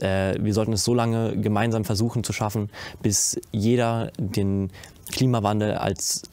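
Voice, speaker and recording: A man's voice: on the low side, a little mellow, no echo. A young man on a discussion panel, speaking earnestly and hesitantly with many fillers. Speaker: panel guest.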